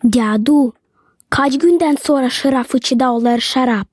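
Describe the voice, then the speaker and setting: A woman's voice: high-pitched, measured pace, a little mellow, husky, no echo, studio quality. A woman voicing a small, sweet, curious child in a children's cartoon. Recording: music behind the voice.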